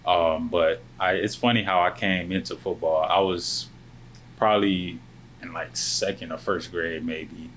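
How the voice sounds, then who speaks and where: Deep-voiced soft-spoken young man. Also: fairly even intonation, a little hiss or hum, low-quality recording. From born in Lebanon, family from South Sudan, raised in the US. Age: young adult. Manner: soft-spoken, measured, trailing off at phrase ends. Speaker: guest, college football player. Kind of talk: casual interview answers.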